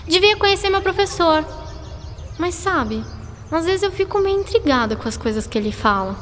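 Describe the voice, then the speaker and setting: A reverberant, noisy radio drama recording features a chatty schoolgirl with a high, girlish voice. She is curious and easily puzzled, speaking informally and expressively. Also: lively intonation, moderate pace, a bit bright. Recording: long reverb, clear background noise.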